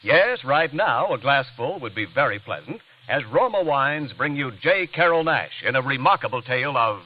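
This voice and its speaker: An American man announcing a radio show in a formal, dramatic 1940s style with deliberate emphasis. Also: mid-range voice, animated, slight background noise. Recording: dull 1940s radio recording, loud music behind.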